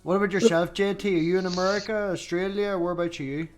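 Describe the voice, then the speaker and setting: A man from Northern Ireland with a Northern Irish accent, chatting casually as a co-host in a lively, joking way with plenty of swearing. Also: high voice, fast, faint music behind. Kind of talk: casual chat.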